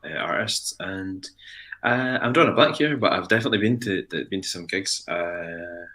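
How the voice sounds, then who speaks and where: A Scottish man with a very low but bright, forward voice, chatting as a radio guest. He is friendly and halting, pausing often to find his words. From Scotland. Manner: friendly, hesitant, searching for words. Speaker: musician guest. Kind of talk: casual interview chat.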